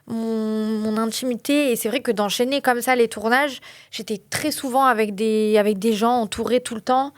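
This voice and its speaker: A woman in her late twenties, a reality TV contestant, chatting in an interview and often pausing with fillers. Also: fairly high pitch, fairly even intonation, dry recording. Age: late 20s. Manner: chatty, frequent hesitations. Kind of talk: casual interview.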